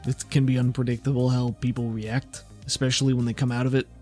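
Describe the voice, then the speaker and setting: A man's voice: mid-range voice, little pitch movement, quick pace, darkish tone, natural voice. A man acts out a cocky, laid-back male specialist in a tabletop roleplaying game. He is dry, teasing and offhand, with a mid-range voice. Faint music sits underneath. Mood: casual reassuring.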